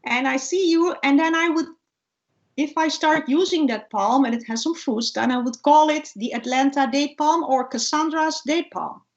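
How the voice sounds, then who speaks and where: Female guest scientist, higher voice, animated storyteller in conversation, slightly dull video-call audio. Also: moderate pace. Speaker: guest ethnobotanist. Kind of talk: informal research interview, storytelling.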